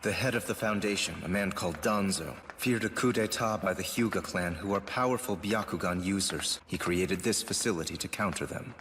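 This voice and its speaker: An actor playing an earnest, composed adult ninja in an anime dub, explaining things formally. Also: male voice, low-pitched, fairly quick, hardly any pauses, fairly bright tone, slight background noise. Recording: long hall reverb, music behind.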